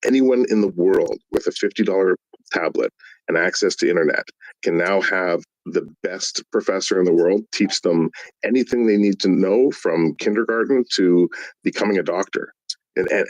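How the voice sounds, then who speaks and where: Deep-voiced man debating thoughtfully. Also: no echo, rough recording. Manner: thoughtful, seeks agreement with tag questions. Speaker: debate panelist. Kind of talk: casual debate.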